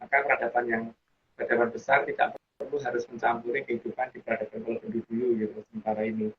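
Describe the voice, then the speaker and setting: A man with a lilt talks at an everyday mid pitch, explaining at length. He loops back and restarts, leaning on fillers as he goes. The audio is dull and lo-fi, with a faint hiss underneath. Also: flat delivery, racing. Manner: rambling, restarts with frequent fillers. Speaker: guest explaining teachings. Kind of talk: casual explanatory chat.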